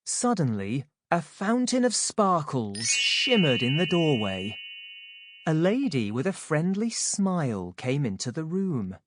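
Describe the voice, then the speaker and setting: A woman narrating a children's audiobook from a script, in a measured, expressive, clearly phrased way. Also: deep voice.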